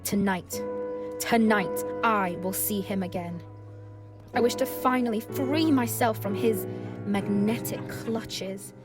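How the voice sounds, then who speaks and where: A woman playing a melodramatic, lovelorn, fretful woman with theatrical pauses in a comic radio play. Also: mid-range voice, music in the background, lightly acted. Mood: lovelorn, dramatic.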